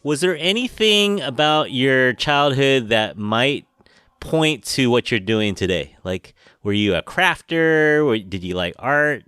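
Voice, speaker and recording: Man, podcast host, from the united states, animated pitch, casual chatty interview, frequent laughter. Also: slow, clean tone, dry recording, clean studio sound. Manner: chatty, laughs often, many fillers.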